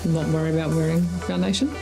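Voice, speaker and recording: A woman's voice: low-pitched, steady tone, fast, gravelly, over music. An Australian woman in her forties giving a casual customer testimonial, full of fillers. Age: 40s. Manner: casual, filler-heavy chat.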